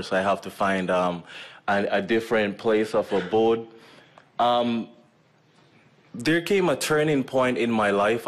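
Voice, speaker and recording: A Jamaican man with a fairly low voice shares his testimony as a medical missionary, talking easily and filling pauses with ums and uhs. He speaks into a stand mic at a lectern on an auditorium stage. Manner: relaxed storytelling with frequent fillers. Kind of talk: personal testimony talk to a church audience. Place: auditorium stage with curtain backdrop.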